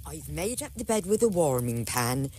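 An actress playing an older, superstitious country housekeeper in an audio drama, homely and deferential, with an English accent. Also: female voice, low voice, big pitch swings, moderate pace, mellow, husky, full character voice. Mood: dutiful.

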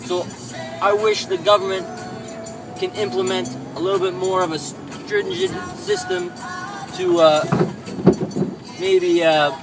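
Man, thirties, high voice, lecturing rant from the driver's seat, dash cam, music under it. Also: expressive, takes it slowly, vocal fry, long pauses, rough recording. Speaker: vlogger. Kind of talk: ranting vlog while driving. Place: inside a moving car. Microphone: camera mounted on the dashboard.

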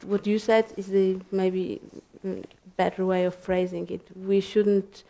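A woman answering as a panelist in a library discussion, thoughtful and hesitant, with many pauses and restarts. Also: mid-range voice, little pitch movement, vocal fry, warm tone. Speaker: panelist, researcher. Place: library event room.

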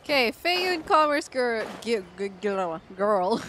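High-voiced, chatty woman game streamer. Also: takes it slowly, a little hiss or hum. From Philippines. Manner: chatty, expressive. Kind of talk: casual livestream reaction commentary. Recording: game music behind the voice.

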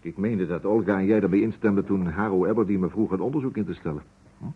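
An actor playing a shrewd, calm investigator who questions deliberately and insistently in an old radio drama. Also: male voice, sits low, husky. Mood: probing, firm. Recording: dull vintage radio recording.

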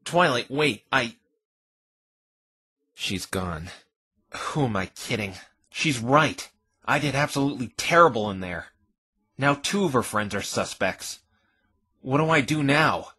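A man playing an earnest, flustered young defense lawyer in an animated fan dub, hesitant and exasperated. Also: medium pitch, measured pace, pauses often, husky, full character voice. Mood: anxious, resolute. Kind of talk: fan dub visual novel acting.

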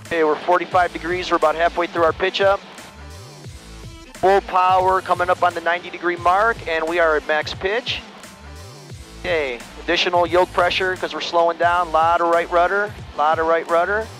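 A middle-aged man piloting a small plane, calmly talking through each step of his flight maneuvers over a headset mic. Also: high voice, normal speed, soft background music. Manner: calm, steady step-by-step callouts. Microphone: aviation headset mic.

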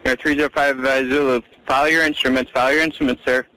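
Flat-toned male air traffic controller on noisy radio. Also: mid-range voice, quick pace. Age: 30s. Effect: aviation radio.

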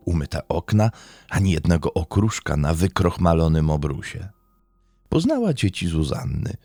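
A man reads a true crime script in a dark, mellow tone with lively, varied intonation. His delivery is measured and dramatic. The recording is close and dry, with faint music behind him. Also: sits low, vocal fry, no room sound. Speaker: true crime podcast narrator. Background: faint background music.

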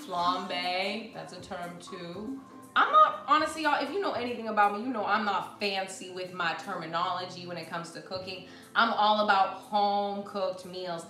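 A woman's voice: mid-range voice, animated, moderate pace, bright, forceful tone. Young woman, bubbly live-cooking host, echoey kitchen, faint music behind. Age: young adult. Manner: bubbly, chatty, addresses viewers as y'all. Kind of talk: live cooking stream, casual chat. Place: home kitchen, indoors. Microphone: fixed camera at counter.

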